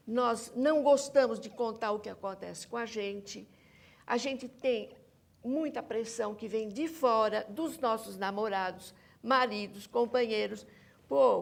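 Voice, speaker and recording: Woman, Brazilian Portuguese accent, highish pitch, creaky fry, emphatic, repetitive, academic interview discussion. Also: measured pace. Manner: emphatic, insistent, repeats points. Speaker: academic guest, researcher and author.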